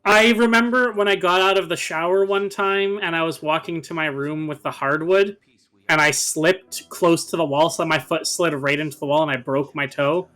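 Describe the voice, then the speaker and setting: Higher-pitched man chatting over a game. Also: fairly even intonation, moderate pace, a bit bright, low-quality recording. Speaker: co-player and commentator on a game series. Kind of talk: casual joking chat over gameplay.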